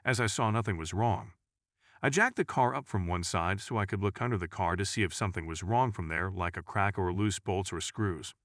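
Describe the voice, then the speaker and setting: Low-voiced man narrating a story, dry recording. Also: fairly quick, fairly bright tone, dry recording. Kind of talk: scripted first-person story narration.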